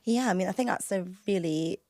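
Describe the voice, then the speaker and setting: A woman's voice: medium pitch, fairly quick, warm tone, no room sound, clean studio sound. Earnest woman from Trinidad, reflective. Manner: earnest, reflective, many ums and likes. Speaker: podcast guest, psychotherapist. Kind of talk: casual interview chat.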